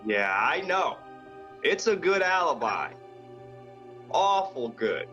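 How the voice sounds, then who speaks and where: A man's voice: on the high side, big pitch swings, takes it slowly, bright, forceful tone, muffled recording, soft background music, exaggerated voice. A man playing a blunt, suspicious police lieutenant in a 1940s radio drama, with a dry, folksy manner. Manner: folksy, hard-boiled, dry. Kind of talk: 1940s radio detective acting.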